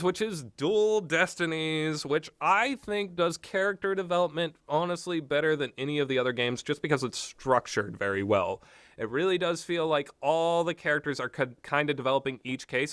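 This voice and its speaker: Guy doing rambly game review commentary, average pitch, stumbles and repeats himself, opinionated, very dry sound no room echo. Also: fairly bright tone. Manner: informal, halting, repeats himself mid-sentence.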